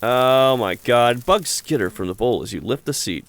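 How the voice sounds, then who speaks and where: Animated man reading a game aloud. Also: mid-range voice, normal speed, a little hiss or hum. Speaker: gaming video host and narrator. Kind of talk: casual let's-play with read-aloud narration.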